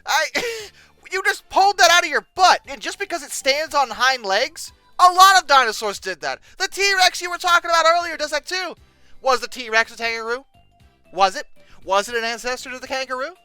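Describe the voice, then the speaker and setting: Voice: high voice, animated, moderate pace, sharp tone, dry recording, soft background music. A sarcastic, exasperated host doing comedic reaction commentary, given to mock outrage. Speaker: host of a paleontology commentary show. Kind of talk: comedic reaction commentary, reading aloud and critiquing.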